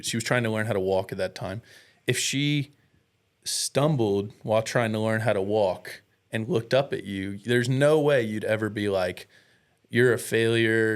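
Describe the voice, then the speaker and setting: A man's voice: lowish voice, clear, resonant tone. An American man talking as a guest in a casual, candid way, telling personal stories with earnest, self-deprecating, slangy speech. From United States.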